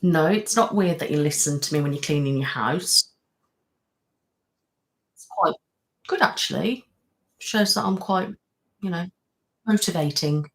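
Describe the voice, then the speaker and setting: A woman's voice: deep voice, a little slow, pauses often, poor audio. A middle-aged British woman, an ASMR channel host, chatting casually on a live stream and answering viewers, rambling with many fillers. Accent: British English.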